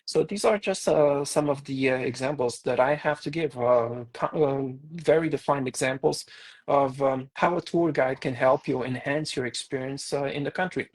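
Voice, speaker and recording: A man with a Portuguese accent, a tour guide co-hosting a casual podcast, talking with many pauses and fillers. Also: mid-range voice, poor audio.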